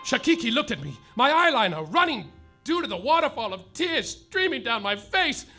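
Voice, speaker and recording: An actor playing a melodramatic, heartbroken private detective, declaiming a parody noir story in a live comedy radio play. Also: male voice, very high-pitched, sing-song, moderate pace, bright, forceful tone, faint music behind, full character voice. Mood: heartbroken, dramatic. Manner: overwrought, declamatory. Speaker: lead actor and narrator.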